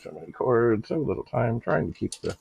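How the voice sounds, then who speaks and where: A middle-aged man narrating a casual hands-on demonstration, musing haltingly aloud to himself with droll, slightly formal turns of phrase. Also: medium pitch, big pitch swings, vocal fry, a little mellow, slight background noise. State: frequent coughing and throat clearing. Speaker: retro computer hobbyist and video host.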